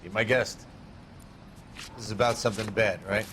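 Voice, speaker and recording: A man's voice: on the low side, steady tone, moderate pace, close to an everyday voice. A man playing a proud, helpful American gun shop owner in a detective video game, with a knowing salesman's patter. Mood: obliging. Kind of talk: video game dialogue, period detective drama. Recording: faint background noise.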